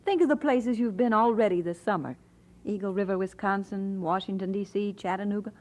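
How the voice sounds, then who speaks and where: A woman's voice: medium pitch, fairly quick, a little mellow, natural voice. An actress playing an affectionate, teasing young woman engaged to be married, in an audio drama. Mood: delighted, teasing. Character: affectionate, teasing young bride-to-be who also narrates warmly.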